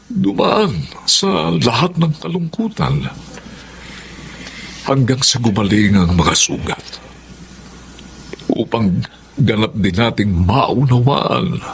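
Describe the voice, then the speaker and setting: A man's voice: on the low side, gravelly, full character voice. An actor playing a middle-aged Filipino man, a widowed friend giving consolation and counsel in a radio drama. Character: consoling male friend, a widower who has healed. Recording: faint background noise and ambience.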